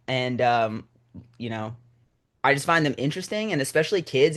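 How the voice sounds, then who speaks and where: A man talking casually and reflectively as a creative guest in an interview, in an informal way with trailing phrases. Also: medium pitch. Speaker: screenwriter and director guest.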